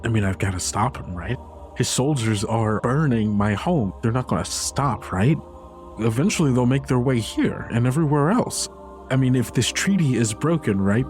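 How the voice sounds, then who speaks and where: A man's voice: mid-range voice, a little mellow, natural voice. A man playing an uncertain, defensive young girl in a tabletop game, hesitant and questioning in casual words.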